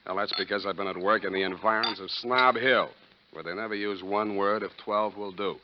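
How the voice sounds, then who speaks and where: Man acting a cocky private eye, deadpan, mock-pompous wordplay, vintage radio drama, muffled old recording. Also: lowish voice, fairly quick, noisy background, close to an everyday voice. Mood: wry, dry. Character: wisecracking, wordy, cocky male private detective. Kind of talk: 1940s radio detective acting.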